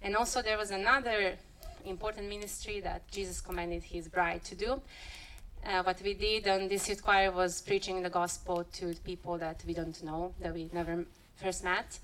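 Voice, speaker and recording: A woman's voice: lowish voice, fairly bright tone, poor audio. Earnest woman. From Serbia. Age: 30s. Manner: earnest, halting, searches for words. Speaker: youth choir member giving testimony. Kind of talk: church testimony talk.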